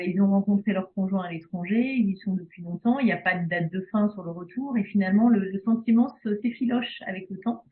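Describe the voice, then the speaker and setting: A woman from Belgium, a speech therapist talking casually in an interview, hesitant with many fillers and restarts. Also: mid-range voice. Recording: phone-like video call audio.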